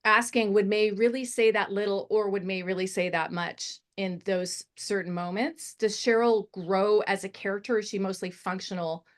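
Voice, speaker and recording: A woman's voice: mid-range voice, fairly even intonation, normal speed, hardly any pauses. A woman giving conversational story notes as a mentor, emphatic and encouraging.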